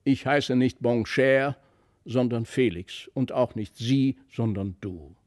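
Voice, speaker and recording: A man's voice: medium pitch, slow pace, a little mellow, dry recording, natural voice. Storybook role: naive, defiant farm boy, earnest and blunt, performed by a male narrator, dry sound. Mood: sullen. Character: young country boy, plain-spoken, naive and defiant.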